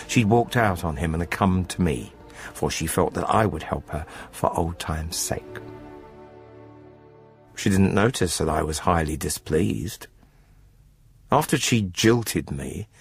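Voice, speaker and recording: An actor playing a cold, methodical poultry farmer who confesses to murder in a formal, matter-of-fact, unrepentant radio drama narration. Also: male voice, deep voice, breathy edge, dry recording, heavily acted. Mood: detached, self-assured, then irritated.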